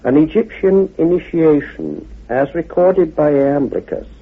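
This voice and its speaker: A man giving a measured expository talk as a guest speaker, deliberate and pausing between short phrases. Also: a little high, expressive, husky. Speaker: guest speaker on occult teachings. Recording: narrow, tinny old radio recording.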